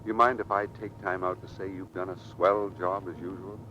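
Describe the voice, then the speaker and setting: An actor playing a steady, affectionate navy commander in a 1940s radio drama. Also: male voice, moderate pace, dull audio. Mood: tender, apologetic. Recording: noisy old radio broadcast, low rumble.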